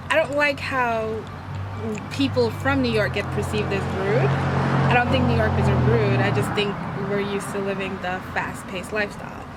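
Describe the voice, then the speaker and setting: A woman in her thirties, originally from Guyana, a high school teacher answering street interview questions in a relaxed, frank, conversational way. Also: moderate pace. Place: outdoors on a city street. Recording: loud music and traffic behind.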